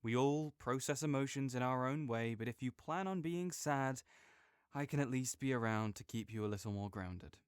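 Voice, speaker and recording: A young man playing a caring, easygoing friend who speaks gently and reassuringly to the listener in an audio roleplay, with some soft joking. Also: mid-range voice, moderate pace, clean tone, no echo, studio quality, close to an everyday voice.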